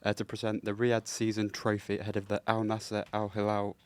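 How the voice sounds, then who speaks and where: A young man, the podcast producer, reading out a sports story haltingly with lots of "uh". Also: on the low side, completely flat delivery, no room sound. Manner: halting, filler-heavy reading of news. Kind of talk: casual chat.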